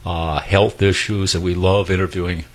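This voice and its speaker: Older man, radio host; low, husky, folksy and enthusiastic. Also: flat delivery, normal speed. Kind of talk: casual radio chat. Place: radio studio.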